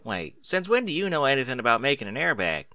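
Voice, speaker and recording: A man's voice: mid-range voice, sing-song, fast talker, bright, forceful tone, no echo, clean studio sound, voice pushed up. A man voicing a practical farm mare, a protective, exasperated big sister with plain-spoken, folksy talk. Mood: grateful.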